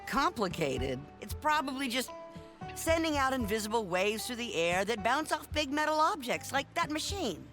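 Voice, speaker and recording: A woman's voice: fairly high pitch, sing-song, moderate pace, a bit bright, faint music behind. An actress playing a spirited, adventurous elderly great-grandmother with a folksy, wry way of talking in a video game. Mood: knowing.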